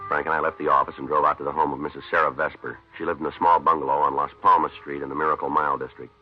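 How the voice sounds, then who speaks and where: Clipped, very deep deadpan police sergeant. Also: male voice, rapid-fire, over music. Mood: matter-of-fact. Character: terse male police sergeant, dry and patient narrator. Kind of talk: 1950s radio drama acting and narration. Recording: dull old radio recording, noisy, clicks.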